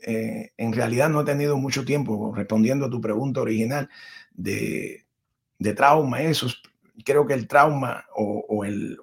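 A man's voice: medium pitch, breathy edge. A middle-aged Cuban man, a Spanish teacher and podcast guest, telling his life story in a rambling, conversational way full of restarts. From Cuba. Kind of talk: conversational interview.

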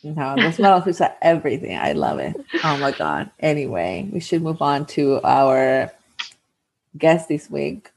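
Lively woman co-host with a husky voice. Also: low-pitched, moderate pace. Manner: animated storytelling with many "like"s, mixing in Spanish. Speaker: podcast co-host. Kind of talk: casual chat.

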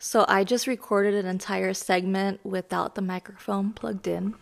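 Raspy-voiced woman hosting a lesson podcast. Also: medium pitch, level tone, moderate pace. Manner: chatty, self-deprecating, explanatory. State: raspy voice from recent illness. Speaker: podcast host. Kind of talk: self-help lesson podcast.

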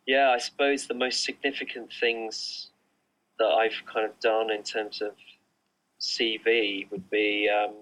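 Low, flat-voiced man, hesitant and rambling. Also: measured pace, low-quality recording. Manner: hesitant, rambling, many fillers and restarts. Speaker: artist, interview guest. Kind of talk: casual interview answers. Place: remote online recording.

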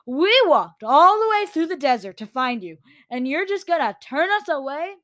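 A woman voicing a feisty, indignant young woman in a story, loud and blunt. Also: high-pitched, very animated, normal speed, no echo, clean studio sound, pitched above the performer's own voice.

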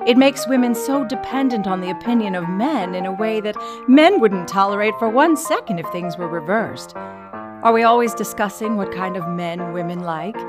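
A woman's voice: mid-range voice, lively intonation, moderate pace, over music, lightly acted. A woman acts out an independent, middle-aged firebrand of the 1920s. She delivers her letter as a dramatic reading, emphatic and indignant, arguing each point in a mid-range voice. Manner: emphatic, argumentative.

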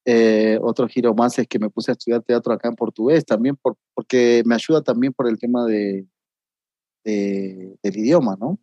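A man's voice: medium pitch, normal speed, dark tone, no echo, dull audio. A man from Argentina with an Argentine accent, chatting casually as a guest, with many fillers. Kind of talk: casual conversation.

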